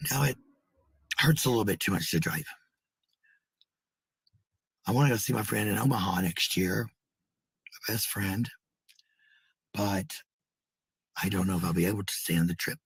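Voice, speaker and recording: Raspy as hell guy just rambling casually, kinda low voice, cusses a lot, dry close sound. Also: normal speed, long pauses, no echo. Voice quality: raspy, gravelly. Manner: blunt, swears freely.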